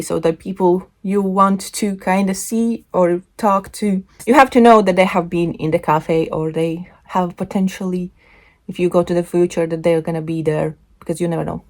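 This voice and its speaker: A woman hosting a casual book chat to camera, with loose, halting phrasing and frequent self-corrections. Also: mid-range voice, a little mellow. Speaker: book reviewer and video host.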